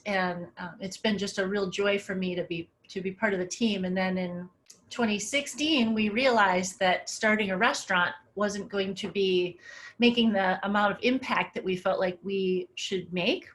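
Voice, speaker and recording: A woman's voice: medium pitch, normal speed, fairly bright tone. A middle-aged woman giving a webinar talk over slides, in a casual, conversational way with many filler words. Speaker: webinar presenter.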